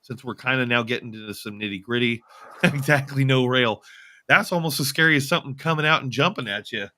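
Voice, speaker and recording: A man's voice: mid-range voice, brisk, sharp tone. A man co-hosting a podcast in casual livestream chat, informal and blunt in the way he talks. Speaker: podcast co-host.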